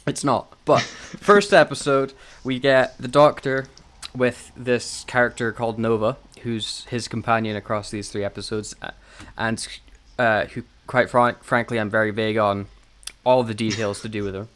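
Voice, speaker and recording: A man's voice: medium pitch, level tone, moderate pace, fairly bright tone. A man co-hosting a casual podcast, talking in a rambling, scatterbrained way and swearing freely. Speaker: podcast co-host.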